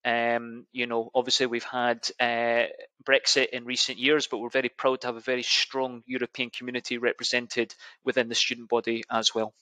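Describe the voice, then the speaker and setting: A man's voice: medium pitch, monotone, normal speed, sharp tone, no echo. A man with a Scottish accent, an admissions officer answering interview questions with enthusiasm and frequent restarts. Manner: enthusiastic, hesitant with many restarts.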